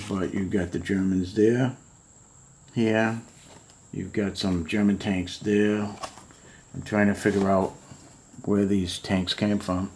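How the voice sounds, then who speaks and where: A man's voice: on the low side, little pitch movement, slow pace, long pauses, a little mellow, slight background noise. A middle-aged man casually showing old books to camera at home, musing aloud with lots of fillers. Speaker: model kit collector showing books. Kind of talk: casual show-and-tell vlog. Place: home room full of model kit boxes.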